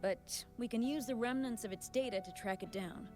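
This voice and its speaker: A woman's voice: fairly high pitch, normal speed, music in the background, natural voice. A woman voicing a businesslike but friendly colleague who gives mission briefings in a video game, explaining things earnestly. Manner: earnest, clear explanatory delivery.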